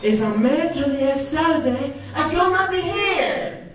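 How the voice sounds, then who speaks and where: A woman's voice: sits high, expressive, unhurried. A woman playing an earnest, eager admirer in an amateur radio drama. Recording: very echoey, distant, faint music behind.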